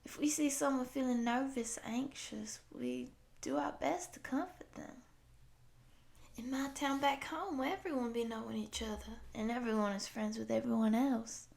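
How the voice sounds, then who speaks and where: Woman playing a gentle, folksy cowgirl monster, gravelly edge. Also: on the high side, moderate pace. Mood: gentle, eager. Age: 30s. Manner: soft, apologetic, affectionate, folksy. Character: tall, gentle, friendly cowgirl monster of thirty-six. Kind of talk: intimate roleplay addressed to the listener.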